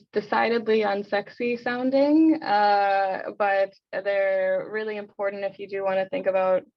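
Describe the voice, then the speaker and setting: A creaky-voiced woman moderates an online discussion in an even tone. She is informal and pauses often to think. The audio is dull and lo-fi, like a video call. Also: medium pitch. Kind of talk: casual online event moderation.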